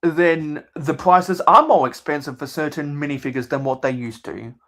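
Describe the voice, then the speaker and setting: A young man with a mild Australian accent, chatting casually as a channel host in a measured, halting way that circles back on his phrases. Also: on the high side, pushed and bright. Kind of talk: casual livestream chat.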